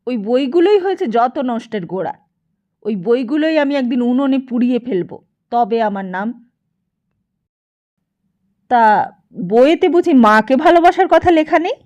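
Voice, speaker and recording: A woman voicing a bitter, domineering older mother-in-law who scolds and interrogates in an audiobook. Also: high voice. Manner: scolding, sharp-tongued, interrogating.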